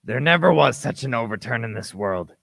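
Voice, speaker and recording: Man as an earnest adventurer narrator, crisp bright tone, gravelly edge, dramatic radio play storytelling. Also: mid-range voice, lively intonation, brisk, no room sound, heavily acted. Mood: dramatic, tense. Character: earnest grown Jim Hawkins recalling his boyhood adventure.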